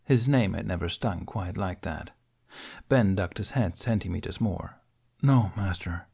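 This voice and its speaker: A man narrating dramatic fiction as an audiobook reader, in a measured, solemn manner. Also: sits low, vocal fry, mellow, breathy edge.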